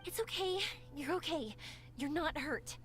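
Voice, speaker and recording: A young woman streamer reading game dialogue aloud, gentle when reading, loud in her reactions. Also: high-pitched, animated, measured pace, faint music behind.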